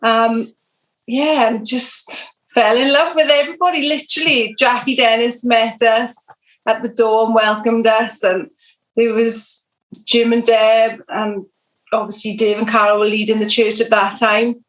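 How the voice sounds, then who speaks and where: Welsh woman guest, highish voice, meandering storyteller, roomy echo on a video call. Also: level tone, a little slow. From South Wales. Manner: rambling storyteller with many ums. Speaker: podcast guest. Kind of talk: telling her faith testimony. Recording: echoey room over video call.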